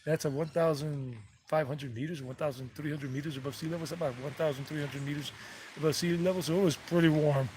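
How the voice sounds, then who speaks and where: A man's voice: on the high side, steady tone, fast talker, a little mellow, a little hiss or hum. Rambling man chatting outdoors. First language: English. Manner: loose and digressive, repeats figures, self-corrects. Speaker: travel vlogger. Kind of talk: rambling casual livestream chat. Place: outdoors on mountain property.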